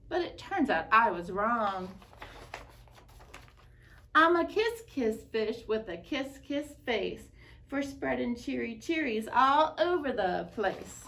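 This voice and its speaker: A woman voicing a glum, gloomy male fish who chants his lines in a loud, drawn-out sing-song. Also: fairly high pitch, creaky, a little hiss or hum, full character voice. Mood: cheerful.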